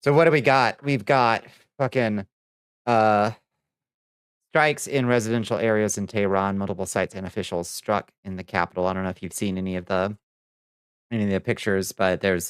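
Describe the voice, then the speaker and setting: A man hosting a livestream, chatting casually with viewers and commenting on news in a halting, profane, muttering way. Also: lowish voice, steady tone, smooth, no echo, clean studio sound. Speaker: livestream host reading viewer chat.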